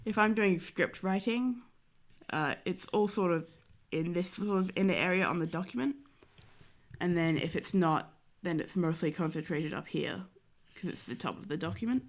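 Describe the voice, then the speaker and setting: A young woman talks through a drawing stream while sick, her voice nasal and blocked up from a cold. Her pitch sits a little low and she rambles loosely, leaning on fillers as she works. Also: normal speed. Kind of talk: casual chat while drawing.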